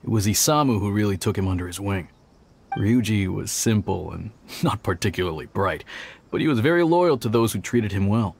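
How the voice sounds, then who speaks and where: An actor playing an older police officer in a video game, measured and sceptical as he recounts and admonishes. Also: lowish voice, expressive, gravelly, a little hiss or hum. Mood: reflective. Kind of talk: video game voice acting, storytelling dialogue.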